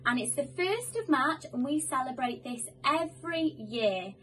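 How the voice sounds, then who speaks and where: A Welsh woman in her twenties, a primary school teacher giving a lively, clear lesson for young children, filmed on a phone in a small office room. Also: high voice, low-quality recording. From Wales. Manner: animated, slow and clear for children. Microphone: phone camera a few metres away.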